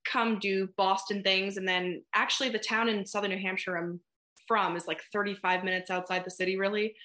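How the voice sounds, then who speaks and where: A woman's voice: mid-range voice, fairly even intonation, a little fast, pushed and bright. A woman in her twenties from New England, a podcast guest chatting casually, laughing often and filling with "like" and "um". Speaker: podcast guest, content creator.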